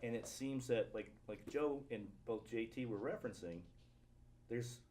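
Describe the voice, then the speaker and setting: Male panel guest from Vermont, mid-pitched, conversational, faint ambient noise. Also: normal speed, a little mellow. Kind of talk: casual political discussion.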